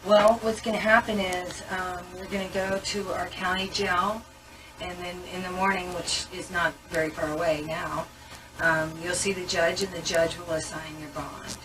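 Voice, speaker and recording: A woman's voice: on the low side, flat delivery, moderate pace. A woman detective running a booking interview in a matter-of-fact, procedural way. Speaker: police detective. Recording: distant mic with music overlaid.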